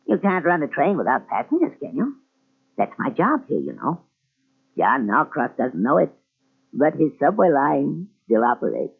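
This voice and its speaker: On a tinny old radio recording, a murderous, unhinged old motorman. His gravelly, husky voice swoops about as he rambles and pleads eerily, in the heightened style of 1940s radio thrillers. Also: fairly high pitch, wildly swooping pitch, normal speed, full character voice. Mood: brooding, gloating. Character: deranged, vengeful old subway motorman, a murderer.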